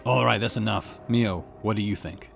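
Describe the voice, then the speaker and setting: A man voicing a gruff middle-aged police detective who speaks in a low, steady way. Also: moderate pace, faint music behind.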